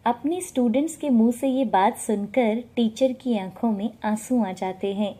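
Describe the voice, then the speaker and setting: A woman's voice: a little high, normal speed, warm tone. A woman narrating a scripted moral story as a storyteller.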